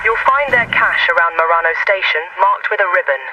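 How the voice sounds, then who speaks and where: A woman's voice: lowish voice, lively intonation, a little fast. An actress playing a businesslike woman trader in a video game, giving terse instructions over a radio. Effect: radio transmission. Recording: distant radio transmission, noisy game ambience.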